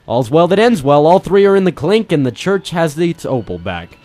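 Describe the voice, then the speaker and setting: A brave, wry private eye as acted by a man tells his own tale in old radio drama fashion. His voice is fairly high and swings widely, close and dry over music. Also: very animated, moderate pace, a little mellow, dry recording. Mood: satisfied, explaining. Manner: dramatic first-person storytelling. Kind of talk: 1940s radio detective drama acting.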